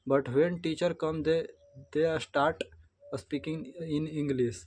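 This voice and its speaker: A young Indian man with a fairly high voice speaks in unhurried, hesitant learner's English. He often stops and starts over, correcting himself as he goes, and keeps a plain conversational tone. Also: fairly even intonation, a little mellow. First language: Hindi. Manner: halting, slow, frequent restarts and self-corrections. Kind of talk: unscripted practice monologue.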